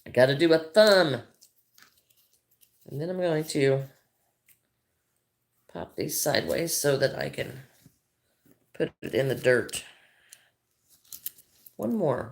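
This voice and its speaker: A woman's voice: low-pitched, vocal fry, a bit bright. A middle-aged Midwestern American woman chatting casually on a crochet livestream, greeting viewers by name and thinking aloud as she works.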